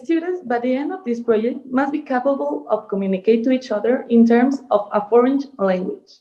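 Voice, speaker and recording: A female moderator reading a prepared introduction in a formal, slightly halting manner over a video call. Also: on the high side, dull audio. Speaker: webinar moderator.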